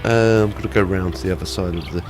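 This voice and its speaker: A man's voice: low voice, fairly even intonation, quick pace, over music. A man with a head cold, chatting casually through gameplay as a let's play host, rambling with lots of ums.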